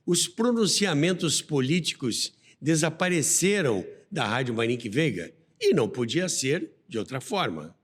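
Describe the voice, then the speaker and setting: A Brazilian man hosting and narrating a radio history podcast from a script, with storytelling asides. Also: fairly high pitch. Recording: long reverberant hall sound.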